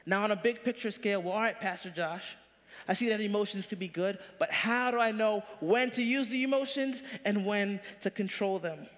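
Energetic young pastor preaching in a hall. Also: male voice, high voice, moderate pace. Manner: animated preaching, rising punchy questions. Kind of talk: sermon. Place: large church stage.

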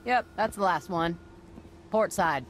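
An actress playing a brisk young female mechanic, wry and teasing, in a game cutscene. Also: fairly low pitch, noisy background, close to an everyday voice. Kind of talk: video game cutscene acting.

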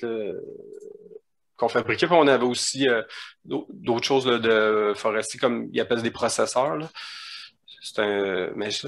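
A man with a Quebec French accent talks loosely on a video call, full of fillers as he searches for words. His pitch sits in a middle range. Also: fairly bright tone. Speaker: 3D designer guest. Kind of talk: casual video call discussion.

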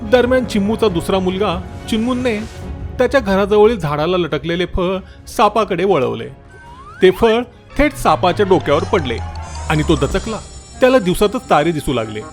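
Animated high-voiced male storyteller. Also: normal speed, faint music behind. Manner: expressive, dramatic storytelling. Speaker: narrator. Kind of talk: scripted children's story narration.

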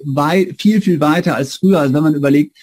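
A man's voice: on the high side, brisk, darkish tone, slightly rough, dry recording. A man in his forties hosting a conversational academic interview. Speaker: interviewer, host. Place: indoor room with bookshelves, on a video call.

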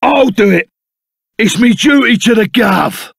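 A man voicing a big, gruff, good-hearted bandit sidekick in a video game, with a gravelly Cockney accent and a blunt, chummy way of talking. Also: extremely high pitch, lively intonation, measured pace, fairly bright tone, dry recording, clean studio sound, full character voice.

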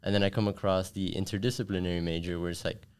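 A man's voice: low-pitched, fairly even intonation, fast talker, a little mellow, clean tone. A young man from Miami, a guest on a studio podcast, answering earnestly with many casual "like" fillers into a desk microphone. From Miami, USA. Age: young adult. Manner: earnest, thoughtful, frequent "like" fillers. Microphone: desk podcast mic with headphones.

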